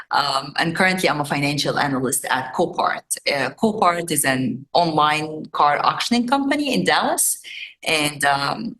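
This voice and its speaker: A woman in her forties who grew up in Egypt speaks to a community gathering. Her voice sits low for a woman, and she talks in a loose, chatty way with plenty of fillers. The sound carries a roomy echo from the meeting room. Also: pushed and bright. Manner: chatty, uses "right?" and "um" often. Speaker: forum speaker. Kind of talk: informal presentation to a community audience.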